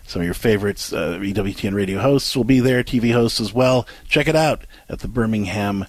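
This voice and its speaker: A man's voice: on the low side, brisk, no echo. A man co-hosting a call-in radio show, asking probing questions with frequent restarts. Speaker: radio talk show co-host.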